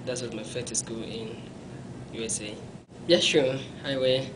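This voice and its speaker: Young man, flat halting speech. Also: medium pitch, noisy background. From Congo. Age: young adult. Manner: halting, self-correcting, non-native phrasing; gestures with his hands while talking. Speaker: interviewee. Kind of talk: personal story in an interview. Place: small indoor room.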